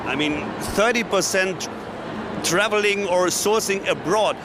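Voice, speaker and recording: High-voiced hesitant male interviewer. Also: measured pace, noisy background. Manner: hesitant, apologetic, restarts questions. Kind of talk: casual interview questions.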